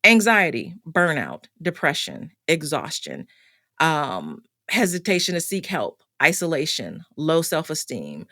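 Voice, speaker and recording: A middle-aged woman hosts a solo podcast and talks in a candid, conversational way, full of fillers and restarts. Her voice is bright and a little tired. The sound is dry, with no room echo. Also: low-pitched, wildly swooping pitch, unhurried. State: voice getting tired. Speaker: podcast host.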